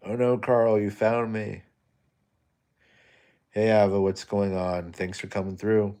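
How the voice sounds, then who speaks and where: A young man hosting a casual late-night live stream, soft-spoken and low-energy, chatting with viewers and reading their comments. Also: level tone, slow, pauses often. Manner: tired, low-energy, laid-back.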